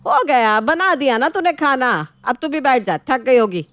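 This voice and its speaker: A woman's voice: high-pitched, expressive, normal speed, fairly bright tone, no room sound, faint music behind. A woman voicing an older, shrewd mother-in-law in a family story, with a scolding, commanding manner. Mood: bossy.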